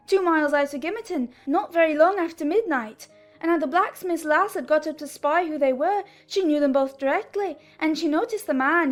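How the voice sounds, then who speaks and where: A woman giving a dramatized first-person narration from a classic novel. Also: sits high.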